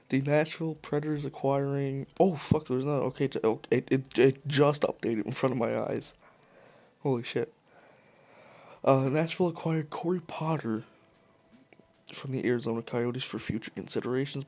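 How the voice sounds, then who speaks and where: A man talks through the news on his own in a loose, cursing way and trips over names now and then. His voice is gravelly and worn, as if it is slowly giving out. His pitch sits in an ordinary middle range. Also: darkish tone. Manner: profane, offhand, stumbles over names. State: losing his voice. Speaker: hockey video commentator. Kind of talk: casual solo commentary.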